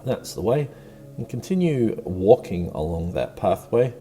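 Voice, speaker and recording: A man leading a guided meditation with gentle, encouraging, unhurried instructions. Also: lowish voice, sing-song. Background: music.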